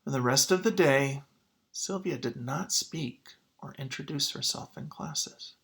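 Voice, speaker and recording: A man's voice: medium pitch, a little slow, gravelly, fairly bright tone. A man speaking as a teacher to his students, in an informal read-aloud lesson style.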